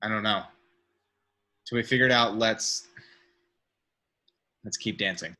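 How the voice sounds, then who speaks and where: Brooding, uncertain gentleman read by a male actor, understated, lowish pitch with a very sharp edge, script reading. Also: unhurried. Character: Bruce, brooding uncertain wealthy man. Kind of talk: amateur table read of a film script.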